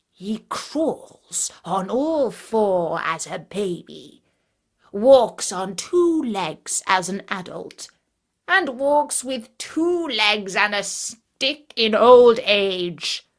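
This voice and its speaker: Woman playing a slow, gloating, scornful dragon. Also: mid-range voice, sing-song, full character voice. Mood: amused, gloating. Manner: slow, drawn-out, gloating delivery. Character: scornful, boastful male dragon who loves cunning riddles.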